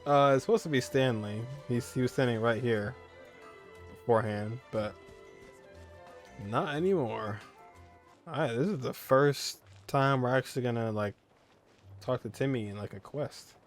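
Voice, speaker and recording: A man's voice: mid-range voice, normal speed, pauses often, faint music behind. A man hosting a casual let's-play, talking in bemused asides and mild exclamations. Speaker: gaming video host.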